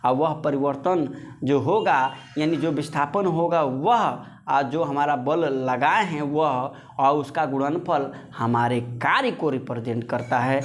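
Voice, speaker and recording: A man's voice: a little high, a little slow. A man giving an informal physics lesson, repeating phrases and posing questions that he answers himself, addressing listeners as friends. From India. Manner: conversational, repeats phrases, poses and answers his own questions. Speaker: physics teacher.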